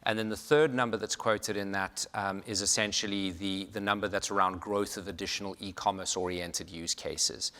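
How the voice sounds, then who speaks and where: A man from South Africa speaking on a conference panel, explaining points in a measured way with frequent fillers. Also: low voice, fairly even intonation, bright, forceful tone. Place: conference hall. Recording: hall reverb.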